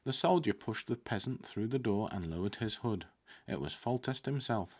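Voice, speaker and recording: Low-voiced man reading fantasy aloud. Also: expressive, normal speed, a little mellow. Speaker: book reader. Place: indoor room with curtains, at a desk. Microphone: desktop microphone close in front.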